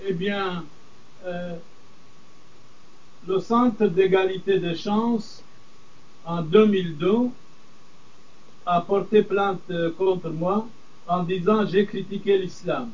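An older man, a priest whose first language is Aramaic, answering interview questions in a firm, deliberate way. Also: high-pitched. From Middle East. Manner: firm, deliberate, measured emphasis. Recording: distant, dull lo-fi sound.

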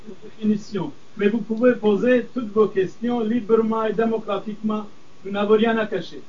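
Older priest, Aramaic native, firm and measured; high voice, far from the mic. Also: male voice, flat delivery, warm tone. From Middle East. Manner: firm, deliberate, measured emphasis. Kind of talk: interview answers. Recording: distant, dull lo-fi sound.

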